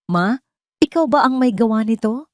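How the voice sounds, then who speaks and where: A woman's voice: on the high side, lively intonation, normal speed, darkish tone, no echo, clean studio sound, voice pushed up, close to an everyday voice. Woman voicing an earnest, defiant male doctor, emphatic. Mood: angry.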